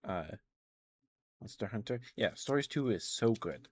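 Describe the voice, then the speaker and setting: A man's voice: mid-range voice, animated, unhurried, a little hiss or hum. A man streaming ASMR, chatting casually in a rambling, hesitant way into a close microphone. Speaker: live streamer. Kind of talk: ASMR, casual rambling chat to live viewers.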